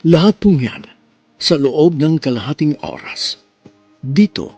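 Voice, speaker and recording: A man's voice: a little high, sing-song, unhurried, husky, muffled recording. Male radio drama host with music. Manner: warm, formal, polite address. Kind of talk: scripted romantic narration.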